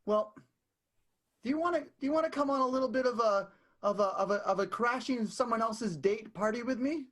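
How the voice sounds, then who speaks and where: An actor playing a blunt, scheming vaudeville performer in an improvised radio soap, with a husky, halting conversational delivery. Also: medium pitch, a bit bright, close to an everyday voice. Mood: earnest, persuading.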